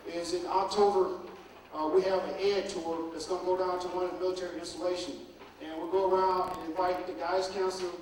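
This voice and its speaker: A man speaking as an army recruiting captain, earnest and emphatic as he answers students. His voice runs quick and fairly high. He is heard from far off in an echoey, noisy school auditorium. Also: darkish tone.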